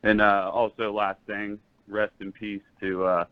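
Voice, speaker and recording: Low-voiced man on a dull call-in line. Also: flat delivery, unhurried, clean tone, no echo. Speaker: caller to a sports show. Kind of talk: casual chat. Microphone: remote call-in line.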